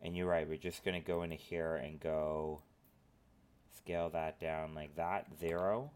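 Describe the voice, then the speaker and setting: A man with a cold, sniffling as he talks through a software modeling tutorial at his computer, rambling with lots of filler phrases. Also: rumbling low, steady tone, measured pace, clear, resonant tone. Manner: rambling, filler-heavy instructions. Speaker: tutorial host. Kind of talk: screen-recorded software tutorial.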